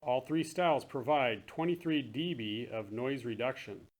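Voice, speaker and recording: Guy doing a product promo, normal mid pitch, straightforward presenter talk. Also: moderate pace. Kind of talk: scripted product narration, later casual demo chat.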